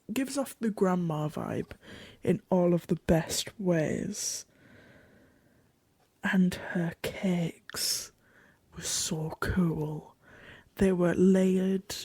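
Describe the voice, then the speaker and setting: A woman playing a curious, chatty traveller in an audio drama diary, soft and husky, with hesitant, informal phrasing and dry humour. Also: lowish voice, no echo, heavily acted. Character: chatty, curious female traveller journaling a strange new world.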